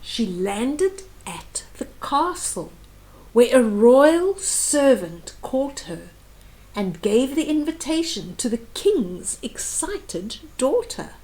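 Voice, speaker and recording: A woman reading a children's story aloud with animated, dramatic emphasis and explanatory asides. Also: on the high side, slow, low-quality recording.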